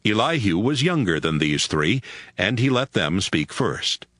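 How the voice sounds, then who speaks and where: A man narrates scripture from a script in a fairly low voice. His intonation moves widely, and the sound is dry and close, with no room to it. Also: sing-song, measured pace, a bit bright, no room sound.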